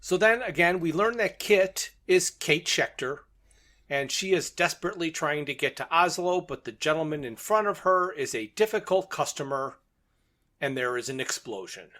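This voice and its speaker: Higher-pitched man casually recapping a plot. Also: expressive, normal speed, sharp tone. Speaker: podcast co-host.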